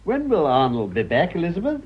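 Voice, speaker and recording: An actor playing an urbane, teasing elderly gentleman in a radio period comedy, with a suave, wry, ornately wordy manner. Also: on the high side, wildly swooping pitch, a little fast, breathy edge, soft background music, full character voice. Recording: slightly muffled radio drama recording.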